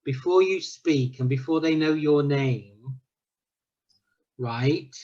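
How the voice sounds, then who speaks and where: A former ethics teacher speaks slowly and deliberately, laying out his reasoning in a measured, probing way. His voice sits slightly high for a man, and the recording sounds dull and lo-fi. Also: a little mellow. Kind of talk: casual debate.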